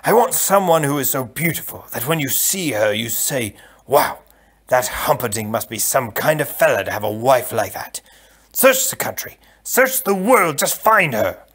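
A man voicing a vain, bad-tempered young prince in a storybook, blunt, loud and blustering. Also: mid-range voice, expressive, normal speed, slightly rough. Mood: blustering, demanding.

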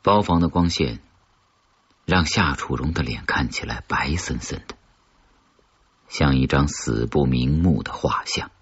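A man with a husky voice reading a scripted suspense story as an audiobook narrator. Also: a bass voice, unhurried, long pauses, muffled recording.

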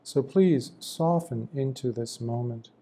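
A man leads a guided meditation in a very dark, soft-edged voice. He speaks slowly and gently, offering short phrases of instruction and leaving long pauses between them. His delivery is that of a monk teaching calm practice. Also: medium pitch.

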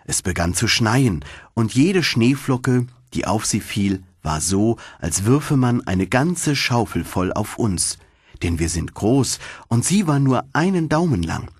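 A man narrating a fairy tale for children as an audiobook, in an expressive, dramatic storytelling manner. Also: medium pitch, slow pace, husky, no echo, clean studio sound.

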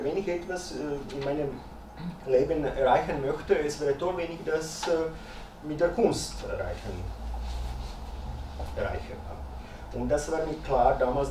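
A man in his fifties from Croatia, speaking German as a second language with a Croatian accent, giving a hesitant lecture full of "äh" fillers. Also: on the high side. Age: 50s. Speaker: guest speaker. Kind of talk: lecture talk. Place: dim lecture salon with projector, small audience. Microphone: far room camera. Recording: echoey room, distant mic, faint noise.

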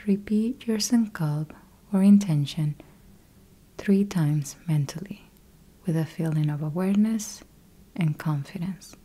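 Slow, breathy, hushed woman guiding meditation. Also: lowish voice. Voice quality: soft, breathy, husky. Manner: calm, gentle, measured with long pauses. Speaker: yoga nidra meditation guide. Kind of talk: guided meditation, slow instructional.

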